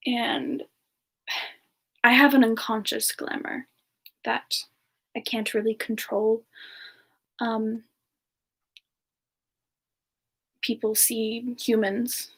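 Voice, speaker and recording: A woman playing a bashful, soft-spoken siren ice spirit, telling her story slowly and hesitantly in a semi-ASMR roleplay. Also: a little high, exaggerated voice. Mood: wistful, measured. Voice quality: soft, breathy, slightly creaky. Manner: slow, hesitant, reminiscing with pauses.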